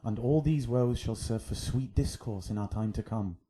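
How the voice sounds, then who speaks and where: An actor playing a tender, sorrowful young husband in a Shakespearean radio drama, soft and solemn. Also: male voice, mid-range voice, moderate pace, natural voice. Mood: sorrowful farewell.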